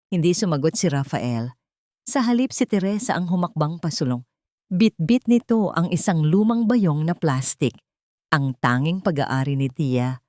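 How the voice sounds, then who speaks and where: A woman with a low voice narrates a melodrama audiobook in a measured, dramatic way. The recording is close and dry, with no room echo. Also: warm tone.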